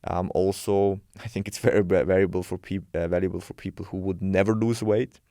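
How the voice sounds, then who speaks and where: Man, 20s, frank and opinionated, fairly low voice, dry close sound. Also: steady tone, a little fast, dark tone, dry recording. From Switzerland. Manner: frank, opinionated, thinks aloud with restarts. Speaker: guest, bodybuilding and health coach. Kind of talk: casual podcast interview.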